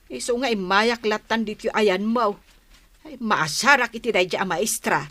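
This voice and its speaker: Actress as a nosy, bright-voiced village gossip woman. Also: medium pitch, normal speed, no echo, natural voice. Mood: insistent. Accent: Ilocano. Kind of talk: Ilocano radio comedy drama acting.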